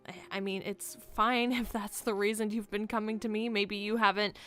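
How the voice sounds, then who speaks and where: Woman playing flustered young camp leader, hesitant, stammering, guarded, mid-pitched, improvised game drama, background music. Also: flat delivery, moderate pace, a bit bright, close to an everyday voice. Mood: uneasy, doubtful. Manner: hesitant, stammering, nervous little laughs. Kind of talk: improvised Minecraft roleplay acting. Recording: music behind the voice.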